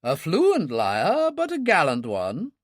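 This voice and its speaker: A man reading an audiobook, voicing a spry elderly woman, a former stage star, with a brisk, teasing, sharp-tongued manner. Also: on the high side, huge pitch swings, no echo, clean studio sound, exaggerated voice.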